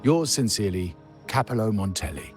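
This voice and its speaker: Actor as a courtly crime-family heir, lively low voice. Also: male voice, soft background music, natural voice. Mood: formal, gracious. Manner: formal, measured, ceremonious. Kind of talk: dramatic video game voice acting.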